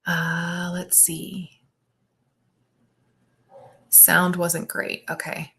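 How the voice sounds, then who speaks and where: A middle-aged woman hosting a live physical therapy Q&A, with a bright, forward voice. She teaches in an upbeat, chatty way, often checking in with her viewers. She projects loudly into her device's own mic. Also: on the low side, completely flat delivery, drawn-out words, gravelly. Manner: enthusiastic, frequent "right?" check-ins. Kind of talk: live-stream Q&A, casual teaching. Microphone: built-in device mic.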